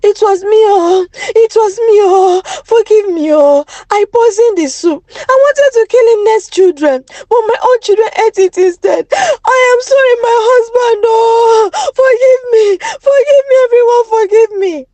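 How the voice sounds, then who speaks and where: A woman's voice: very high-pitched, measured pace, mellow, dry recording, voice pushed up. A Nigerian-accented woman voicing a jealous, fiery village wife in a folktale, wailing and exclaiming. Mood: wailing remorse.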